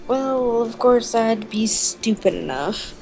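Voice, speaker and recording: A woman's voice: fairly high pitch, expressive, unhurried, gravelly, a little mellow, soft background music, rough recording. A woman co-hosting a story-time show, telling everyday stories in a casual, chatty style.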